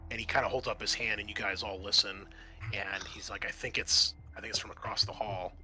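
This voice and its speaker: Bright-voiced man running a tabletop game. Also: lowish voice, level tone, slight background noise, rough recording. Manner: halting, conversational narration. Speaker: game master and host. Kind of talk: improvised narration of a tabletop roleplaying game.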